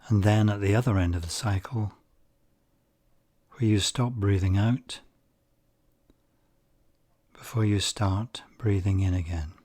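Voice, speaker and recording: A man guiding a meditation, speaking softly and calmly, some phrases nearly whispered. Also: fairly low pitch. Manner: soft-spoken, calm and unhurried, with breathy near-whispered phrases. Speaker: meditation guide. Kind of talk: slow guided meditation.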